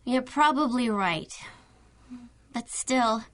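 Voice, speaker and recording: A woman's voice: on the high side, takes it slowly, fairly bright tone. A woman playing a confident, playful young time-traveling superhero in a radio drama, earnest with teasing asides.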